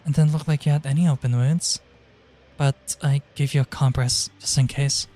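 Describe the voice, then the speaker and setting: Man voicing a soft, calm teenage girl. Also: mid-range voice, normal speed, voice pushed up, close to an everyday voice. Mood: soothing. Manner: soft, nonchalant reassurance.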